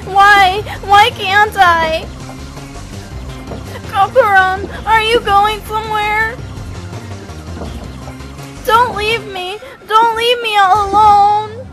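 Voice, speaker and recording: Woman as a scared little boy, very high-pitched, slow, whiny, tearful, clingy anime character. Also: steady tone, fairly bright tone, faint music behind, heavily acted. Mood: panicked pleading. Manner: whiny, pleading. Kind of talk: anime visual novel fandub acting.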